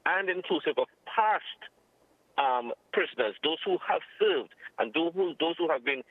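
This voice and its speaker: A man with a Trinidadian accent speaking as an interviewed security expert over the telephone, in a formal, measured, emphatic way, repeating phrases for stress. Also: fairly high pitch, animated, no room sound. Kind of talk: phone interview commentary. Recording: muffled narrow-band telephone line.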